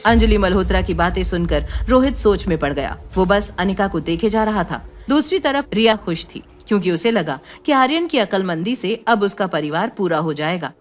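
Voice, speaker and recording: A woman's voice: medium pitch, lively intonation, moderate pace, few pauses, a little hiss or hum. A woman narrator reading a scripted dramatic story.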